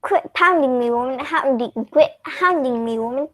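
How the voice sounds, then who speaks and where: Brief: actress as a bumbling lady general, high voice, stumbling official delivery, close dry recording. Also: a bit bright, no echo. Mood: defensive. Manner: halting, garbles words. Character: flustered, bumbling female army general at press briefing. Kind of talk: comic radio play acting.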